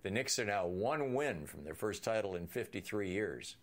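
A man's voice: fairly low pitch, lively intonation, moderate pace. An older man hosting a political talk radio show, giving an emphatic, opinionated monologue and taking calls.

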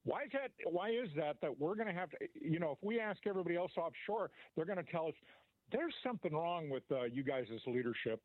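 Halting American man on a phone line. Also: on the high side, lively intonation, no echo. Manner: halting, searching for words. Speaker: regular radio show caller. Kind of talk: casual political chat.

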